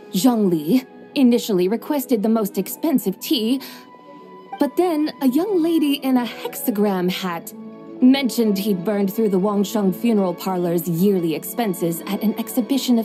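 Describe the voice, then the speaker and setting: A woman's voice: mid-range voice, warm tone, close to an everyday voice. A woman voicing a drowsy, formal mystic woman with grand, old-fashioned manners in a game cutscene.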